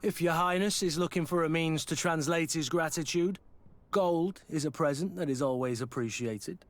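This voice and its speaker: A man's voice: a little high, moderate pace, lightly acted. A man acting a blunt, loyal mercenary soldier in a video game, plain-spoken and wry.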